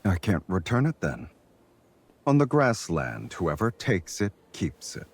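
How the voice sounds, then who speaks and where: A man voicing a confident, teasing champion fighter and lover in a romance game, with a husky voice. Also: low voice, wildly swooping pitch, measured pace, exaggerated voice. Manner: smooth-talking, teasing.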